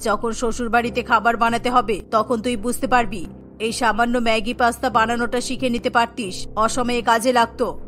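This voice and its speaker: A woman's voice: a little high, steady tone, normal speed, fairly bright tone, soft background music, lightly acted. A woman voicing an exasperated middle-aged village mother, scolding and insistent, in a cartoon. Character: exasperated, nagging village mother of grown daughter. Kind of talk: Bengali cartoon voice acting.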